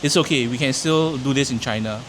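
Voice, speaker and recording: A man from Singapore casually telling a friend about his long drive, relaxed and a bit rambling. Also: mid-range voice, a little fast, a little hiss or hum. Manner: relaxed storytelling, a few fillers. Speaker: road-trip companion. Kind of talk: casual chat.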